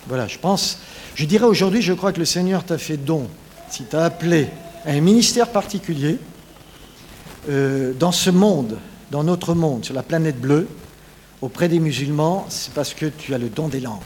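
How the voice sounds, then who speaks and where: A man introducing a guest speaker to a congregation in a warm, joking way. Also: fairly high pitch, moderate pace, slight background noise. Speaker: church leader introducing a speaker. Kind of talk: friendly introduction.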